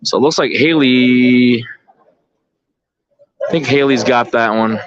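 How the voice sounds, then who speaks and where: Dull lo-fi audio, man, mid-range pitch, informal sports commentary, off-the-cuff delivery. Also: slow, dry recording. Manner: informal, off-the-cuff, thinking aloud. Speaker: bowling tournament commentator and organizer. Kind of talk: casual live sports commentary. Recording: dull, lo-fi live stream audio.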